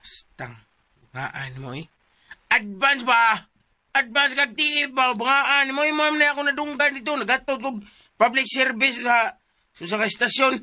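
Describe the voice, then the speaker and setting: A bumbling male household helper with a Filipino accent, high and sharp in voice. He is chatty, teasing and deferential in a comic radio-play way, recorded close and dry. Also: no echo, full character voice. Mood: flustered, pestering. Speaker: radio drama actor. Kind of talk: comic radio drama acting.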